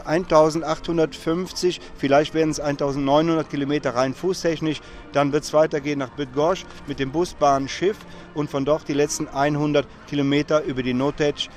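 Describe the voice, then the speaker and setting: A man being interviewed outdoors at a summer festival, telling stories in a chatty way with frequent fillers. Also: mid-range voice. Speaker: interviewee, long-distance walker. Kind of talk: casual storytelling in an interview.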